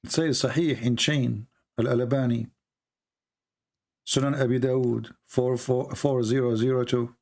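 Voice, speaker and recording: A man with an Arabic accent, a native Arabic speaker, arguing forcefully and lecturing in a heated live debate over an online call. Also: mid-range voice, fairly even intonation, unhurried. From Middle East.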